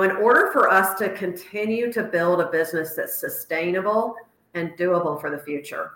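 A middle-aged woman coach speaks with a bright, forceful edge and a fairly low pitch. Her voice comes over a video call from a roomy, echoing space. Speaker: guest, fitness business coach. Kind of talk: conversational interview answers. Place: open space by a pool in Florida.

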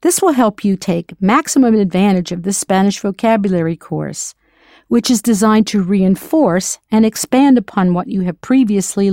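A woman whose first language is English, narrating an audio language lesson in a clear, encouraging way, slowing down to model pronunciation. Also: mid-range voice, a little mellow, no room sound, studio quality. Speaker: language teacher.

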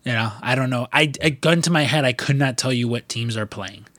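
A man's voice: medium pitch, fairly quick, fairly bright tone, dry recording. A man hosting a casual podcast, talking loosely with lots of swearing and fillers, still a bit congested with the sniffles. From US Midwest. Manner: loose and profane, rambling with many fillers. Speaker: podcast host. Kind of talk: casual chat.

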